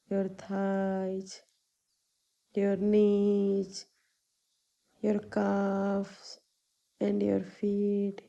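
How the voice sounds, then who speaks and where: Very slow, mellow woman guiding meditation. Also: medium pitch, gravelly. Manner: calming, soothing, unhurried with long pauses. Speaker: meditation guide, yoga teacher. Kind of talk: guided meditation, spoken instructions.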